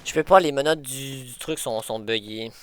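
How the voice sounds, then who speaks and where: A man's voice: mid-range voice, moderate pace, fairly bright tone. A man chatting casually during a game, repeating himself in slangy speech mixed with English words, on a noisy mic. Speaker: co-player in a gaming video. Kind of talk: casual gameplay chat with a friend.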